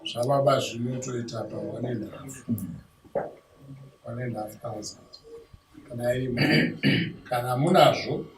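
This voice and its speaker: A man with a Zimbabwean accent playing a businesslike Shona elder in a dramatized bride price negotiation, listing items deliberately, point by point. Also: medium pitch, natural voice. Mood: measured. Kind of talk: dramatized traditional negotiation, conversational. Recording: echoey, distant mic, clear background noise.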